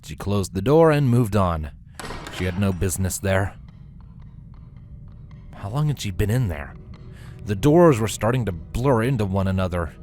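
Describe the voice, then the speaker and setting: Low-voiced man narrating a story. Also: lively intonation, normal speed, long pauses, a little mellow, soft background music.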